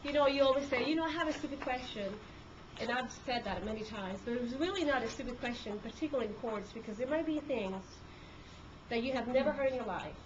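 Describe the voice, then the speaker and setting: A woman's voice: a little high, fast. A woman from Peru whose first language is Spanish, speaking with a Peruvian Spanish accent as a court reporter, talking conversationally to a group with many fillers and restarts. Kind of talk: talk to a group. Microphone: handheld camcorder. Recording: dull lo-fi camcorder audio with room noise.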